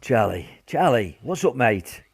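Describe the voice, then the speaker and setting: A man's voice: medium pitch, very animated, measured pace, creaky, husky, full character voice. An actor playing a stoical, caring British father during an air raid in a radio play, matey and reassuring. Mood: concerned.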